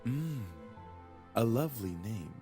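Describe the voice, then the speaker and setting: An actor playing a flirtatious, smooth-talking mysterious suitor in a visual novel game, with a theatrical, seductive manner. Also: male voice, mid-range voice, animated, takes it slowly. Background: game music.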